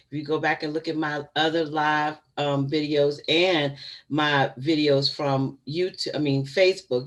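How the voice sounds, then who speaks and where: A woman in her fifties hosting a casual livestream from home, chatty and given to laughing asides to her viewers. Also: low voice. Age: 50s. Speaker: channel host.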